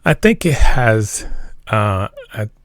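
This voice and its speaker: Low, gravelly middle-aged man, halting and emphatic. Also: sing-song, dry recording. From Montreal, Canada. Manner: halting, repeats phrases for emphasis. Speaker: interview guest, military veteran. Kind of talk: personal conversational interview.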